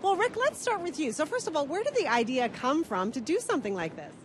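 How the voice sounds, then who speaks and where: A woman's voice: high-pitched, sing-song, quick pace, slight background noise. A woman hosting a breakfast television interview, chatty and upbeat, recorded outdoors in a city. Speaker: morning TV host.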